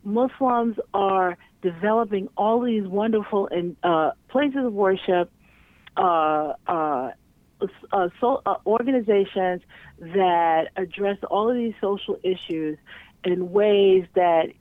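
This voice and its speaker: A woman's voice: mid-range voice, takes it slowly, no echo. A woman in casual conversation as a guest, chatty and full of fillers and restarts. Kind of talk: casual chat. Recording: tinny phone line.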